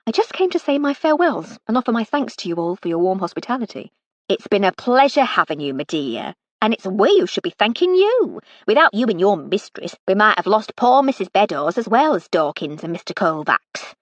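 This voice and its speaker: A woman voicing a cheery, motherly older housekeeper-cook who is chatty and warmly bossy. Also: medium pitch, very animated, fairly quick, no echo, lo-fi sound.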